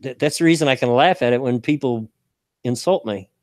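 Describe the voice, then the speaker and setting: A man's voice: mid-range voice, brisk, darkish tone, dry recording, studio quality. Scratchy-voiced man with a hillbilly twang. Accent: Southern Indiana and Kentucky. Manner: laughs often, folksy. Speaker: show host. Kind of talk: casual stream chat.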